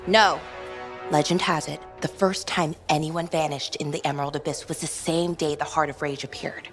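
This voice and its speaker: An actress playing a feisty, impulsive young female city guard in a video game, brisk and eager. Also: sits low, fairly even intonation, fairly bright tone. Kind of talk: video game cutscene acting. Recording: faint background music.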